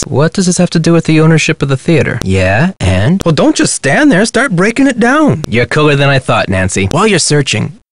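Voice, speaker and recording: High, animated, slangy young-sounding activist. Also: male voice, no room sound. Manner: slangy, impassioned, theatrical. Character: zealous, wisecracking male political activist with hippie slang. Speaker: video game voice actor. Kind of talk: video game character dialogue.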